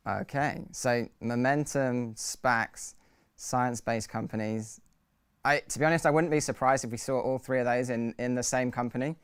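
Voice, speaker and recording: A man moderating a panel discussion, measured and hedging with fillers. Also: medium pitch, steady tone, clear, resonant tone, no echo, studio quality.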